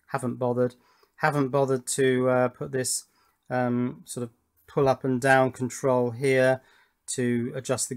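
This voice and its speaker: A man hosting a hobby electronics video, talking through his build unscripted in a matter-of-fact, technical and self-critical way. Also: mid-range voice. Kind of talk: unscripted workbench explanation.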